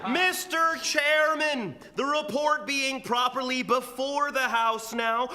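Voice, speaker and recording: A man playing a florid, indignant gentleman orator, pompous and elaborately polite, in a theatrical radio drama. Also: extremely high pitch, exaggerated voice. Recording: live theater with audience noise.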